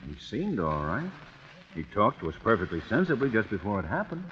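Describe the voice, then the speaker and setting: Fast-talking, outspoken man, old radio. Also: lowish voice, huge pitch swings, a little hiss or hum. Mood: insistent. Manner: direct, insistent. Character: brisk, outspoken, sociable male accident survivor. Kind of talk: 1940s radio acting. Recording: muffled old radio broadcast.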